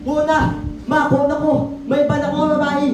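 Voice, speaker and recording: A woman's voice: high-pitched, completely flat delivery, fairly quick, over music, natural voice. A woman playing a young man in love, pleading and defiant with his girlfriend, in an amateur radio drama. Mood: agitated, defiant. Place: school audio-visual room. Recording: very echoey hall from far off, faint noise.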